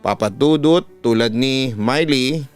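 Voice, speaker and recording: A man's voice: medium pitch, unhurried, clear, resonant tone. A man with a Filipino accent reading a listener's horror letter aloud as a storytelling host. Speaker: host and narrator of a listener-story channel, radio announcer. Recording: music bed behind the voice.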